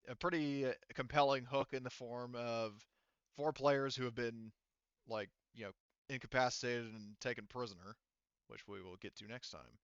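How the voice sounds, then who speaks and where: A man runs a tabletop game in a casual, halting way, thinking out loud. His voice is bright and clear. The recording is close and dry, though a little muffled and lo-fi. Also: medium pitch, no room sound. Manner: halting, thinks aloud while tallying rules and rolls. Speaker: game master.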